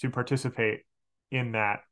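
A man in his late twenties chatting casually as a podcast guest, animated and repeating words as he explains. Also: mid-range voice, normal speed. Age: late 20s. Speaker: podcast guest, game master. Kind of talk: casual chat.

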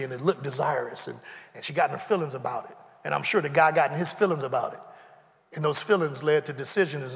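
A man's voice: a little high, creaky, fairly bright tone, big-room sound. A man, preaching a sermon in a conversational way and acting out dialogue with humorous asides. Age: 40s. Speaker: pastor. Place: church sanctuary.

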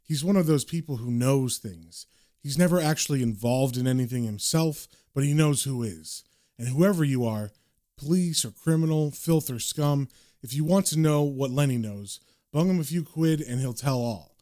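A man's voice: medium pitch, moderate pace, warm tone, close to an everyday voice. Wry knowing comic-book narrator read by a man.

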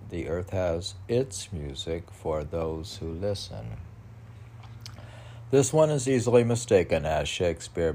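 A man rambling through a podcast of chat and poetry reading, halting and often talking to himself in questions and answers. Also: deep voice, gravelly, dark tone, slight background noise. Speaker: poet reading and chatting on own podcast.

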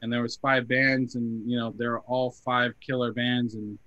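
Young male musician guest, casual rambling chat, bright tone, dry muffled recording. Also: medium pitch, little pitch movement, normal speed. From Phoenix area, US. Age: 20s. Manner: chatty, lots of "like" fillers, quotes people in his stories. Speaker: band member guest.

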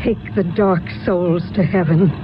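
An actress in a radio drama playing a kindly, composed mentor in witchcraft, speaking in a measured, formal, reassuring way. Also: lowish voice, steady tone, close to an everyday voice. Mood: gentle, solemn. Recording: muffled, noisy radio broadcast.

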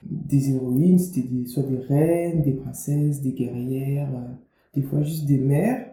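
A woman's voice: extremely low pitch, steady tone, slow pace, dark tone. A young woman from Guadeloupe, an author being interviewed, talking casually with many fillers and restarts. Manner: chatty, lots of fillers and restarts. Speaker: author and media founder, guest. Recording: roomy, faint background noise.

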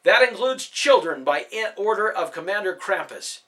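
Guy reading as a mean bossy soldier captain, yelling orders, a bit high. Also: expressive, moderate pace. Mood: commanding. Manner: shouting, taunting. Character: arrogant male soldier captain, cruel and mocking.

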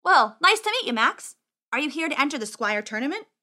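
A woman's voice: sits high, sing-song, a little fast, bright, forceful tone, pitched above the performer's own voice, full character voice. A woman voicing a cheeky, boastful teenage boy wizard in a storybook, grandiose and chatty. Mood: friendly, curious. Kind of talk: audiobook character acting.

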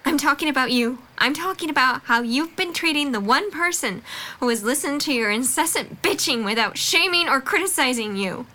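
A woman's voice: sits high, expressive, moderate pace, pushed and bright. A young woman playing a frank, earnest college student in an audio drama, speaking firmly and with emphasis. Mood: indignant. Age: young adult. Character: frank, earnest female college student, supportive but fed up. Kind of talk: audio drama dialogue acting.

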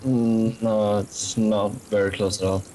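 A man's voice: fairly low pitch, flat delivery, measured pace, dark tone, a little hiss or hum. A man from Sweden calling in to a podcast, giving brief polite answers and questions. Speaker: caller. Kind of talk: phone-in questions.

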